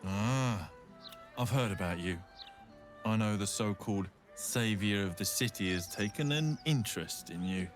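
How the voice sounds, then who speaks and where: An actor playing a shrewd, measured, disdainful merchant in a fantasy video game. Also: male voice, fairly low pitch, soft background music, close to an everyday voice. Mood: knowing. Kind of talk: video game character acting.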